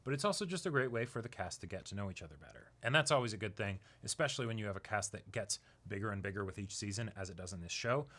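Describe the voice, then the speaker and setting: Bright-voiced man chatting casually. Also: lowish voice, expressive, racing, few pauses. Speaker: co-host of an anime reaction discussion. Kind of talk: casual chat, discussion.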